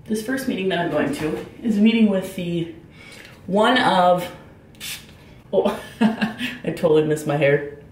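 A young woman talking casually to the camera in a day-in-the-life vlog, chatty and quick, often laughing and drifting into little rants, filmed at arm's length at home and in her car. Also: on the low side, darkish tone, a little hiss or hum. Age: young adult. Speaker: vlogger and business owner. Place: kitchen and bathroom at home, then inside a car. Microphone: handheld camera at arm's length.

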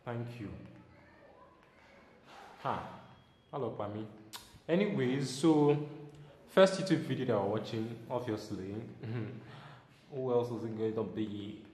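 A young man with a Nigerian accent talks casually to his camera, chatty and a little scattered. His mid-pitched voice rings through a bare, echoey room with a long reverb tail, and music plays clearly behind him. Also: slow, long pauses, slight background noise. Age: young adult. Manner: chatty, loose and excitable, trailing off with ums. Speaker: vlogger. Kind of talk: casual vlog chat. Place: small bare room at home, indoors. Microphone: lapel mic.

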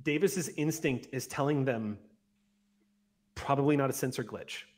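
A man of about forty runs a tabletop roleplay session. He narrates in a measured, deliberate manner with a mid-range voice, speaking close to a desk microphone. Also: mid-range voice, a little mellow. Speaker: game master. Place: indoor room at home, on a video call. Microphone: close desk microphone on an arm.

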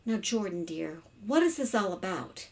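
A woman voicing a gentle, indulgent mother who soothes and placates in a children's storybook. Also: medium pitch, big pitch swings, moderate pace. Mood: placating. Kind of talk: children's storybook read-aloud.

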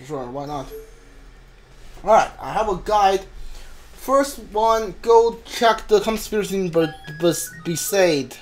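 A man streaming a video game with casual, halting, exasperated commentary. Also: high-pitched, animated, faint music behind. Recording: clear background noise.

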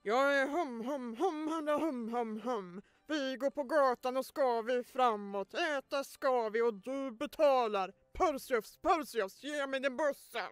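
A woman's voice: high-pitched, few pauses, clear, resonant tone, no echo, soft background music, pitched below the performer's own voice, lightly acted. A woman voicing a cheeky, plump, food-loving companion with playful theatrical delivery in an amateur cartoon dub. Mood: singsong, greedy.

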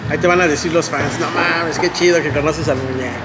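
A man's voice: a little high, steady tone, brisk, very noisy. A man in his thirties with a Mexican accent, hosting a casual vlog chat in a café, joking and swearing often. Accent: Mexican Spanish. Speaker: vlogger host. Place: indoor café at night.

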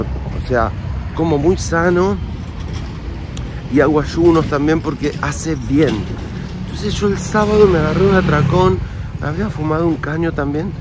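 A man in his forties with an Argentine accent, talking casually and confidingly to his viewers while walking the city streets, filmed on a phone held at arm's length. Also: a little high. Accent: Argentine Spanish. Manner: chatty, confiding, talks straight to the viewers. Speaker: vlogger. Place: outdoors on city streets and in a park, then at a café. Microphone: phone camera held at arm's length. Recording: phone audio with clear street noise. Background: street and traffic noise.

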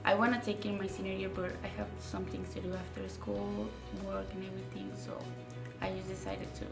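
A young woman from Mexico, a native Spanish speaker with a Mexican Spanish accent, answering interview questions in a halting way, repeating words and using many fillers. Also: medium pitch, flat delivery, gravelly, roomy sound, distant mic, over loud music. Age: young adult. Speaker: graduating student. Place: indoor studio with dark curtain.

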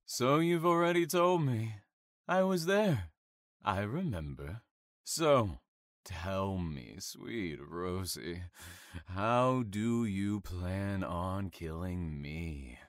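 A man acts a sadistic killer in an audio drama. He taunts slowly and theatrically, with big swings in pitch, savoring his menace with smug amusement. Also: animated, dry recording, studio quality, heavily acted. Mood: smug, amused. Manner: theatrical, mocking, drawn-out taunting.

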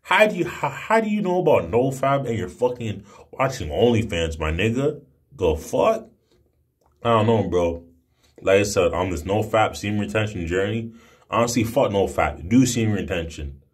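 A young man in his twenties with a fairly low voice delivers a casual monologue to camera. His talk is brash and slangy, full of emphatic swearing. He sounds like a video blogger recording on a phone indoors. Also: very animated, normal speed, breathy edge. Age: 20s. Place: small room indoors. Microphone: phone at arm's length.

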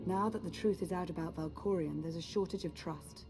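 A woman playing a composed, earnest resistance leader in a video game, with a calm, authoritative manner. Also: on the low side, a little fast, lightly acted. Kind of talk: video game dialogue acting. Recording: music under the dialogue.

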